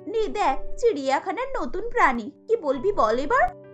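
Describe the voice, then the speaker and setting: A woman's voice: very high-pitched, sing-song, unhurried, fairly bright tone, faint music behind, pitched above the performer's own voice, exaggerated voice. A woman voicing a haughty, spiteful young sister-in-law in a cartoon, scornful and bossy. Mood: mocking. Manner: scornful, taunting.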